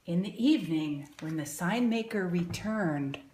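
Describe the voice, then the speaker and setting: A woman's voice: lowish voice, expressive, measured pace, darkish tone, reverberant, slight background noise. A middle-aged woman reading a picture book aloud to children, exclaiming and asking them questions as she goes. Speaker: storybook reader and educator.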